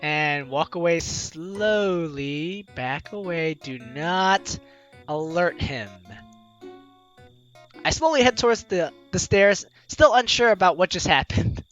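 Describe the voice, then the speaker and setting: A woman's voice: on the low side, animated, slow pace, highs cut off, soft background music. A woman hosting a game playthrough, reading the narration aloud expressively with playful, giggly commentary. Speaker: gaming video host, player reading aloud.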